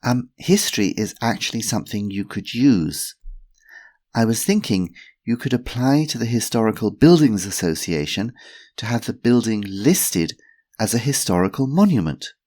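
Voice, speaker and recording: A man doing a shy, halting young woman. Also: on the low side, very animated, a little mellow, pitched above the performer's own voice. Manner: hesitant, halting. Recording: roomy echo.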